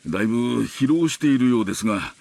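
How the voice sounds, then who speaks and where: A man playing an elderly family doctor with a husky voice and a calm, reassuring manner. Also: mid-range voice, no room sound. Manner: measured, reassuring.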